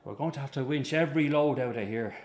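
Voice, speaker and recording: An actor playing a weary, patient but strained Irish circus manager in a radio drama. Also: male voice, medium pitch, fast, natural voice. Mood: weary, conciliatory. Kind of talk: radio drama acting with narration.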